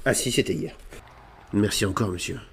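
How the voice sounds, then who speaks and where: A man's voice: lowish voice, normal speed, a little hiss or hum. A man improvising game roleplay and chatting casually, playfully hamming it up with slang. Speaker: gaming video creator playing online roleplay.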